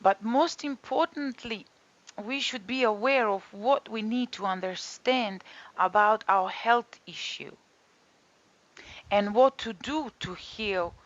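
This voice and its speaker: A woman with a Croatian accent speaks at a middle pitch, earnest and steady as she introduces a yoga and detox course. She keeps returning to her key phrases and fills gaps with soft ums. The recording is slightly dull and lo-fi.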